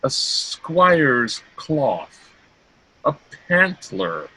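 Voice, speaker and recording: A man reading the part of a boorish, spoiled young princely suitor in an amateur Shakespeare play, declaiming archaic verse in drawn-out phrases. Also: mid-range voice, sing-song, pushed and bright, breathy edge, no echo, full character voice, poor audio. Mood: scornful. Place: remote online video-call reading.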